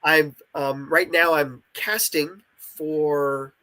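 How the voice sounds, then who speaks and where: A man's voice: a little high, steady tone, very slow, sharp tone. A man with a congested, nasal voice talking enthusiastically and explaining his creative work in a casual interview.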